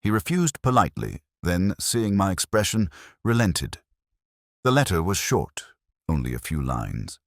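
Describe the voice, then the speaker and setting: A man reading a first-person detective story in measured, deliberate, clipped phrases. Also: sits low, wildly swooping pitch, no echo, clean studio sound. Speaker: narrator.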